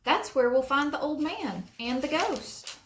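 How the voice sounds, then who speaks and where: A woman's voice: sits high, expressive, measured pace, reverberant, voice pushed up. A woman voicing an eager, sure young girl detective in a children's storybook.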